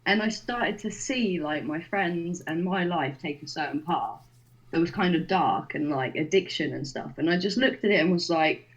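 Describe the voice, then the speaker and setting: Young woman, podcast guest, chatty storyteller, slightly low voice, muffled lo-fi recording. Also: moderate pace. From Poland, raised in the UK. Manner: excited, chatty, fillers of "like". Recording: dull lo-fi sound.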